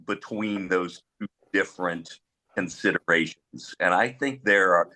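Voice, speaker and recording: A middle-aged man speaking on a discussion panel as an experienced police trainer, in a measured way with pauses and firm opinions. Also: deep voice, sharp tone, rough recording. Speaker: panelist, former police officer.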